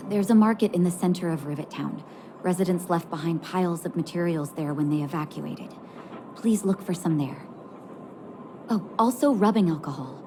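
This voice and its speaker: A woman voicing a gentle, composed doctor in a video game, speaking in a soothing, measured way. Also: lowish voice, lightly acted. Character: gentle, composed female doctor caring for an underground town. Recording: game music behind the voice. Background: music.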